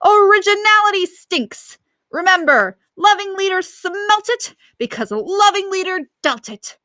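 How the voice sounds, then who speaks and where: A woman's voice: very high-pitched, expressive, measured pace, bright, forceful tone, no room sound, pitched above the performer's own voice, full character voice. A woman voicing a cheeky schoolboy in a storybook who mockingly imitates a pompous dictator in a grandiose way.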